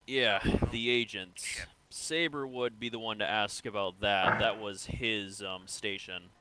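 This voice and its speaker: A man playing a patient, reassuring comrade in a sci-fi game roleplay, asking questions in a measured, coaxing way. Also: fairly low pitch, steady tone, very noisy, natural voice. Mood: patient, probing. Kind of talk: improvised online roleplay acting.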